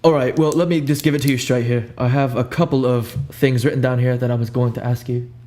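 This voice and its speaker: A young man improvising a soft-spoken ASMR roleplay as a cool, ominous recruiter, talking in a casual, blunt, unhurried way over hiss and hum. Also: medium pitch, steady tone. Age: young adult. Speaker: ASMR roleplayer playing a job interviewer. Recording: audible background hiss and hum.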